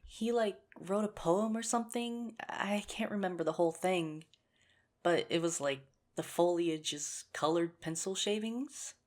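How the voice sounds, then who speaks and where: A woman playing a nerdy, earnest young teacher shyly courting a crush in a conversational ASMR romantic roleplay, gently self-mocking and a little hesitant. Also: on the low side, measured pace, lightly acted. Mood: engaged, amused.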